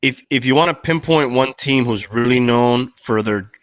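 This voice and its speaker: A man's voice: medium pitch, normal speed, no echo, lo-fi sound. A man co-hosting a sports podcast, chatting casually in a blunt, slangy way. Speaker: podcast co-host.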